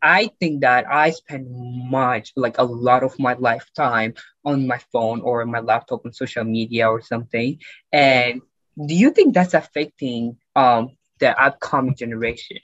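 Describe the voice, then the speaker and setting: A man's voice: mid-range voice, fairly even intonation, measured pace, a bit bright, dry recording, highs cut off. A teenage young man hosting a casual podcast chat in an informal, eager way. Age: teens. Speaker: podcast host.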